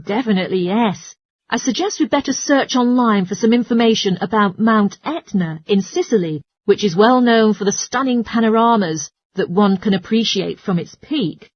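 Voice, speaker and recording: A woman's voice: medium pitch, moderate pace, no echo, close to an everyday voice. A woman playing a helpful, studious geography student in a scripted listening-test dialogue. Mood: studious, explaining.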